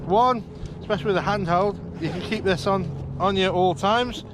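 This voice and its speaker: A man's voice: high voice, brisk. An enthusiastic man vlogging outdoors on a boat, explaining things casually to camera. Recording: loud outdoor boat noise. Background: boat and water noise.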